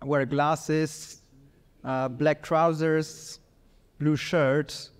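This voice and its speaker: A middle-aged man with an Austrian accent delivers a workshop lecture. His voice sits a little high for a man, and he talks in a measured way, stopping often for small fillers. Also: flat delivery, clean tone.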